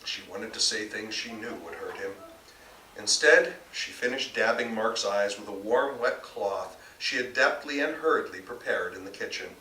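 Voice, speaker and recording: A man's voice: on the low side, moderate pace, bright, forceful tone. An American man, an author reading his novel aloud as live narration, recorded indoors at a distance. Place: bookstore reading event, indoors. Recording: roomy, distant live recording, faint noise.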